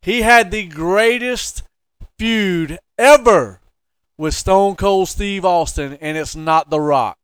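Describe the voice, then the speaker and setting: High, clear-voiced man debating casually. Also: slow, fairly bright tone, no echo, clean studio sound. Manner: emphatic, teasing, opinionated. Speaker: podcast co-host. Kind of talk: casual sports-entertainment debate chat.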